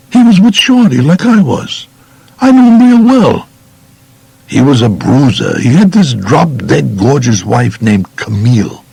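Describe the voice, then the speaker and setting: A man's voice: mid-range voice, creaky, darkish tone, breathy edge, no echo, heavily acted. A man playing a tough, streetwise New York mobster recounting his crimes bluntly in colloquial first-person audiobook narration. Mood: stunned, puzzled. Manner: colloquial, blunt, matter-of-fact.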